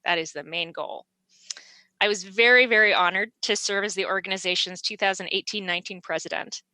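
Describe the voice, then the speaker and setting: A woman's voice: mid-range voice, a little fast, no echo, rough recording. A woman in her forties, an auditor, giving an earnest formal report and self-introduction at a public meeting. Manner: earnest, steady report with occasional fillers.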